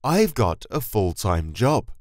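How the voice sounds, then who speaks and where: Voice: mid-range voice, sing-song, slow pace, darkish tone, no echo, clean studio sound. A speaker reading short English translations of phrases for a language course.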